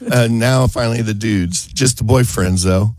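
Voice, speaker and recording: A man's voice: mid-range voice, moderate pace, darkish tone, no room sound. A man hosting a gardening radio show while getting over a cold, chatting casually and joking between chuckles. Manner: joking, rambling, chuckles often. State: has a cold.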